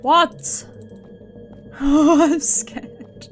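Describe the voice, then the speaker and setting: High-pitched British woman streaming a game. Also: steady tone, very slow, faint music behind. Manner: sweary, reacting aloud, thinking out loud. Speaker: livestreamer playing a game. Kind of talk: casual horror game commentary.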